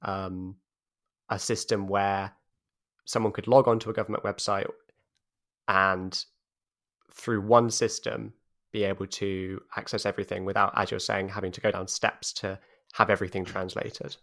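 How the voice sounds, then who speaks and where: A man hosts an interview and asks his guest long, thoughtful questions in a measured way. His voice is lower than average and sounds bright and forceful. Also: level tone, gravelly.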